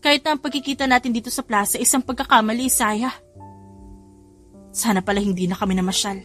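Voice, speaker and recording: A guarded young single mother, weighed down by guilt, in a romance audio drama , acted by a woman narrator. Her voice is fairly high and emotional, with music behind it. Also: fast, fairly bright tone, natural voice. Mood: guilty, resigned.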